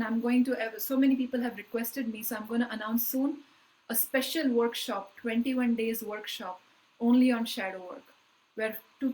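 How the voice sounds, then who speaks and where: A woman leading a live online spiritual workshop, talking in an earnest, emphatic way with slow, deliberate phrasing that often trails off softly. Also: a little high, low-quality recording.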